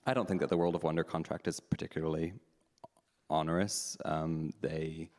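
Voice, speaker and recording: A man with a very low voice hosts a live panel, answering questions frankly and candidly. He thinks aloud as he goes. The sound carries a long reverb from a large hall. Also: fairly even intonation, normal speed, a little mellow. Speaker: panel host, drag performer. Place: meeting house hall.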